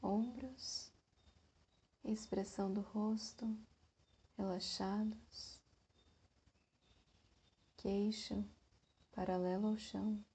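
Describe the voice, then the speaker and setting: A woman guides a meditation at an extremely slow pace, leaving long pauses between short phrases. Her voice is soft and breathy, with a mellow, relaxed tone. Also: medium pitch.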